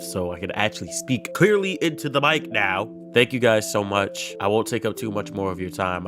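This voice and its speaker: Casting: young male vlogger, very low voice, earnest rambling motivational talk, soft music bed under him. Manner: earnest, rambling, repeats himself. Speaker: motivational video creator. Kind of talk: casual motivational monologue. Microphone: desk microphone. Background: soft background music.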